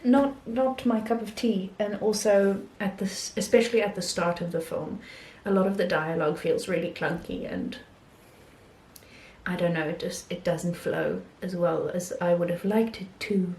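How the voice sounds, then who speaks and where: A woman chats through her film reviews in a relaxed vlog, often pausing with ums and restarting her sentences. Her voice sits a little low. The recording has a noticeable room echo. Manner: hesitant, many ums and restarts. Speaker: film vlogger. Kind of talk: casual film review talk.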